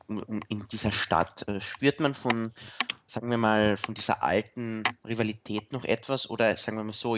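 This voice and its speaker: A man's voice: on the low side, muffled recording, background noise. A man interviewing a guest on the radio, asking long, searching questions full of fillers.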